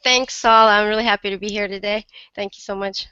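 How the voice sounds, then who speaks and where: Woman, 30s, from Morocco, sick guest, smooth clear voice, close and dry sound. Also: medium pitch, fairly even intonation, brisk, sharp tone, dry recording, highs cut off. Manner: chatty, many fillers and restarts. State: sick, rough voice. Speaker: interview guest. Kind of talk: casual interview chat.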